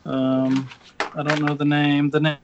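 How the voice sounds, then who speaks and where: A man's voice: a little high, monotone, unhurried, dull audio, noisy background. A man in casual chat, rambling and hesitant as he shows off toys. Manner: rambling, hesitant, many fillers.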